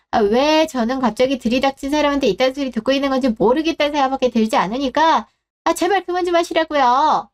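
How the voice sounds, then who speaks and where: A brazen daughter-in-law played by a woman storyteller with a high voice. She talks back with open scorn and sarcasm, confrontational throughout a scripted family drama. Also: a little mellow.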